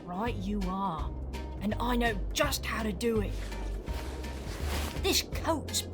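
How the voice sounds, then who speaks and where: A woman's voice: medium pitch, animated, slow pace, over music. A woman playing a scrappy, eager Victorian London urchin child with an English accent in an audio drama. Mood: eager, scheming. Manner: eager, exclamatory.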